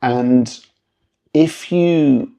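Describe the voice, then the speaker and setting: A British man presenting a conversational product review, speaking in a measured, articulate, discursive way with wry asides. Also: medium pitch, gravelly, dark tone.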